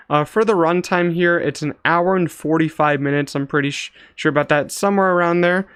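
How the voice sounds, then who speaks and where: A man's voice: a little high, a bit bright, smooth, no room sound, clean studio sound. Casual vlog reviewer, young man, clean voice with very little room sound, slangy and hedging. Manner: loose, hedging, slangy, frequent "like". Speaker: movie reviewer, channel host.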